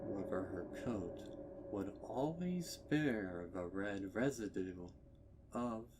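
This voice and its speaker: Low voiced narrator reading horror fiction super slow, grave, loud ambience all over it. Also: lively intonation, heavy background noise. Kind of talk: scripted dramatic horror story narration. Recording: distant mic under loud ambient sound.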